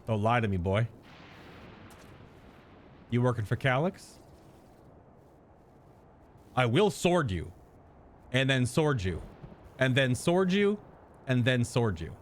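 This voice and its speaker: A man's voice: medium pitch, sing-song, slow pace, long pauses, a little hiss or hum. A man giving casual live reaction commentary as a game streamer, rambling and thinking aloud. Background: game music.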